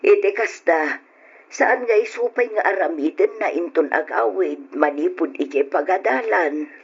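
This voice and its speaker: A woman playing an anxious elderly wife and mother, fretful and plaintive with a raspy voice, in an old-style radio drama. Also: fairly low pitch, animated, a little slow, full character voice. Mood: worried. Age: older. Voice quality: husky, raspy. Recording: muffled radio recording.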